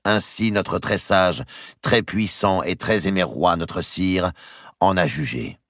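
A man voicing a stern royal official in an audiobook, solemnly and deliberately declaiming a sentence. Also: deep voice, expressive, a bit bright, dry recording, clean studio sound, voice pushed up, heavily acted. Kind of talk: dramatic audiobook proclamation.

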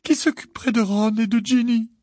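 A man voicing a distraught middle-aged mother in an audiobook, crying and stammering in a high, trembling voice. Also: fairly even intonation, warm tone, no room sound, studio quality. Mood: anguished, sobbing. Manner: stammering, halting, wailing.

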